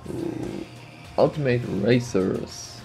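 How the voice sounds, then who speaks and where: A man's voice: medium pitch, extremely slow, creaky, music in the background. A man with a slight sniffly cold, casually commenting on a game he plays and thinking aloud as he goes. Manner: thinks aloud, muses and repeats himself. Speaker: let's play host.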